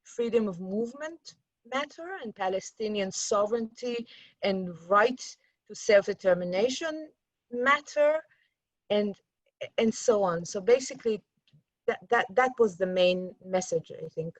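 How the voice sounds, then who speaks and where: A woman's voice: mid-range voice, animated, measured pace, no room sound. A middle-aged woman from Iran, a journalist and activist, speaking in a reflective interview with many restarts and fillers.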